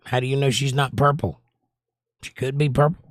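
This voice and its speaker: A slightly hoarse middle-aged man hosting a livestream, chatting casually and teasing his viewers as he reads their comments. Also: medium pitch, steady tone, normal speed, no echo, clean studio sound. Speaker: livestream host. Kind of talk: casual chat reading viewer comments aloud.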